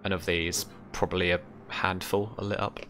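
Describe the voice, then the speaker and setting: A young man working as game master, narrating a tabletop scene in a casual, halting, descriptive way. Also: sits low, level tone, a bit bright. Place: indoor room, remote session. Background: music.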